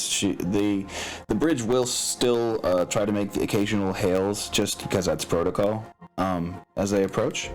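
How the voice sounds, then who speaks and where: Guy with a deep voice game mastering, kinda hesitant thinking out loud, music in the back. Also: low-quality recording. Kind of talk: casual explaining of tabletop game mechanics. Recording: music playing behind. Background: background music.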